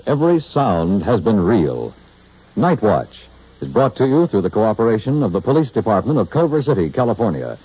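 American male old-time radio announcer, dramatic, mellow husky voice, muffled sound. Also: fairly low pitch. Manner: formal, dramatic. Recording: muffled vintage radio recording.

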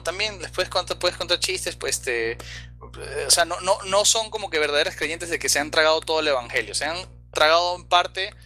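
A man's voice: fairly high pitch, pushed and bright. A man from Venezuela hosting a casual podcast chat, rambling with many fillers and restarts.

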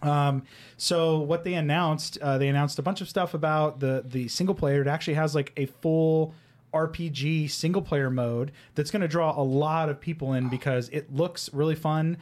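A man's voice: fairly high pitch, steady tone, a little mellow. A man co-hosting a casual podcast, presenting gaming news with joking asides. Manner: joking, quick asides, then explains news in a run. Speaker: podcast co-host.

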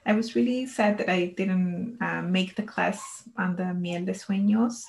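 A woman's voice: lowish voice, steady tone, unhurried, gravelly, a little mellow. A woman hosting a podcast, introducing and interviewing in a casual, somewhat hesitant conversational way.

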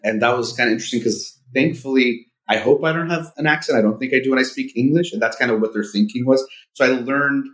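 A man's voice: mid-range voice, quick pace, few pauses, a bit bright, low-quality recording. A man in his forties from Southern California, a psychologist and podcast guest who first spoke Farsi, talking thoughtfully with many fillers. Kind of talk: casual podcast interview.

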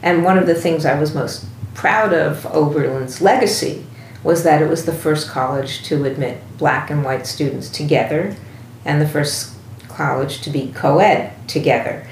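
A woman's voice: low voice, moderate pace, a little mellow. A woman with an American accent telling her story conversationally in an interview. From United States. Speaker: composer being interviewed. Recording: echoey room with faint background noise.

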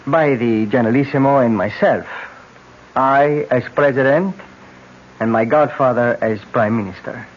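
Actor as a stiffly composed, weary young leader. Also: male voice, medium pitch, normal speed, lightly acted. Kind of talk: old-time radio drama acting. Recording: dull, hissy old radio broadcast.

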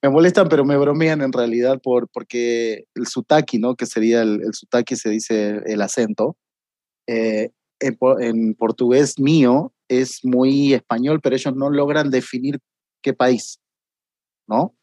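A man's voice: mid-range voice, steady tone, measured pace, mellow, dry recording. Argentine man chatting, dry sound. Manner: chatty, many fillers. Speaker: guest. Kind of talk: casual conversation.

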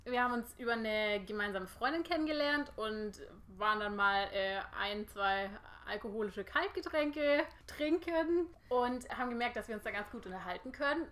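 Bright-voiced woman in her twenties chatting. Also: a little high, animated, moderate pace, hardly any pauses. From Germany, Lake Constance area. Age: 20s. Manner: chatty, giggly, self-mocking. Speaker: podcast co-host. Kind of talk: casual chat.

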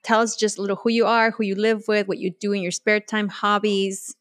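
A woman co-hosting a podcast who asks friendly, easygoing questions. Her voice sits at a middle pitch. The sound is dry and close, with no room echo. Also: level tone, brisk, fairly bright tone. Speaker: podcast co-host. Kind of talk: casual interview.

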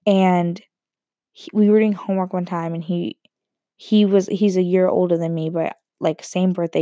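A woman's voice: lowish voice, dry recording, clean studio sound. A young woman telling a personal story in a rambling, joking way. She is fighting a cold, so her voice sounds throaty. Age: young adult. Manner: animated, self-interrupting, joking asides.

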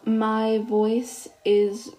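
A woman's voice: fairly high pitch, monotone, very slow, mellow, low-quality recording. A teenage girl hosting a casual solo podcast, reading texts aloud in a chatty way while losing her voice. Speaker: podcast host.

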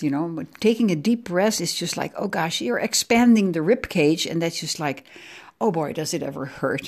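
A woman's voice: medium pitch, a little mellow. A middle-aged woman from the Netherlands with a Dutch accent, hosting her own podcast in a chatty, rambling way, sore from aching ribs. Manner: chatty, rambling, self-correcting. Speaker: podcast host. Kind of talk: casual solo podcast monologue.

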